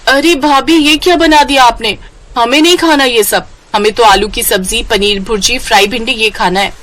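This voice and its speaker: A woman's voice: fairly high pitch, moderate pace, a bit bright, slight background noise, natural voice. A woman voicing a bossy, demanding young in-law who smugly lays down rules in a cartoon story. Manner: pointed, instructing. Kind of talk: Hindi moral-story cartoon voice acting.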